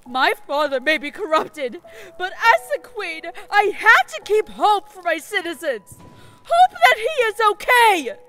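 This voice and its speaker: A woman's voice: extremely high pitch, big pitch swings, unhurried, bright, forceful tone, full character voice. A woman voicing an emotional young queen who pleads and hopes, in a fan audio drama. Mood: pleading.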